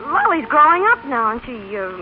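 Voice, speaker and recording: An actress playing an awkward, self-sacrificing working-class mother in a vintage radio drama, speaking haltingly with stammers and homespun grammar. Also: high-pitched, big pitch swings, background noise. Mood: earnest, pleading. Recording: tinny band-limited old radio recording.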